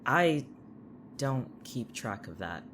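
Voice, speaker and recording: Audio drama lead, played by a woman: low, animated, exasperated young patient with a blunt, snarky, defensive edge. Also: takes it slowly, a little hiss or hum, heavily acted.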